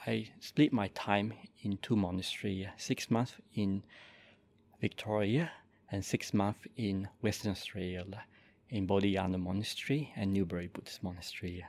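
A Buddhist monk in his forties from Malaysia, speaking softly and calmly as he introduces and leads a guided meditation. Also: lowish voice. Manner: slow, soft-spoken and calm, with gentle pauses.

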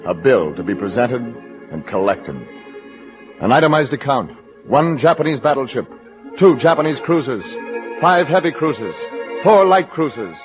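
A man's voice: mid-range voice, very animated, normal speed, close to an everyday voice. A man narrating a war drama in stern, emphatic short phrases, in the style of 1940s radio. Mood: solemn. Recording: dull old radio recording with music.

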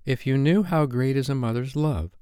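A man's voice: on the high side, big pitch swings, moderate pace, darkish tone, no room sound, studio quality, voice pushed up. A man voicing a young girl who tells a bedtime story in a patient, motherly, slightly chiding way. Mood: triumphant, complacent.

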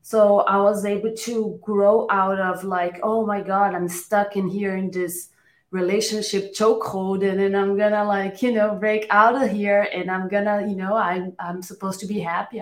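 A woman's voice: mid-range voice, flat delivery, moderate pace. A woman from Brazil with a Brazilian accent, a native Portuguese speaker, giving conversational answers as an interview guest. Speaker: podcast guest, coach and therapist. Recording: roomy, echoey room sound.

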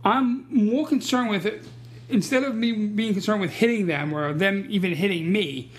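A man's voice: high-pitched, moderate pace. A man who teaches martial arts, talking casually and bluntly, often repeating words as he restarts sentences. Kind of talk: casual podcast conversation.

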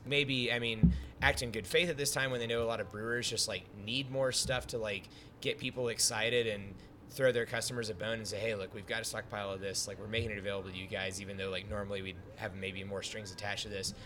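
A man with a fairly low voice talks as a brewer in a casual podcast interview. He explains things at length, reflective and careful to hedge. There is clear noise behind him. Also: fairly even intonation, fast talker, sharp tone. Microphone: podcast microphone.

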